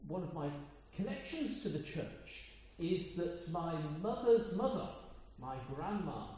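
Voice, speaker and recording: An older man from with a loud voice, preaching an informal sermon in a chatty, meandering way with frequent pauses. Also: high-pitched, sing-song. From Coventry, England. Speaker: lay preacher. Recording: echoey hall sound, dull lo-fi.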